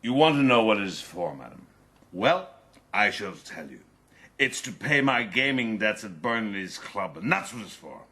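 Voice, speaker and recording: An actor playing a proud, bullying eighteenth-century gentleman adventurer in a radio drama, pompous and commanding. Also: lowish voice, sing-song, moderate pace, slightly rough, full character voice. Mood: angry, threatening. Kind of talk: radio drama narration and dialogue.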